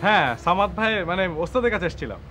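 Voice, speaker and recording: High-voiced shy lovesick young man. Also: very animated, sharp tone, faint music behind, heavily acted. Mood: nervous, bashful. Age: young adult. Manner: hesitant, halting. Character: shy, lovesick young bodybuilder, earnest and awkward. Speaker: actor in a TV drama. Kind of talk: TV drama dialogue.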